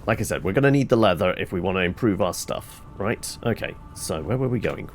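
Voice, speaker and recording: A man's voice: sits low, vocal fry, a little hiss or hum. A man giving casual video game commentary, rambling and thinking aloud to himself. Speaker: gameplay streamer.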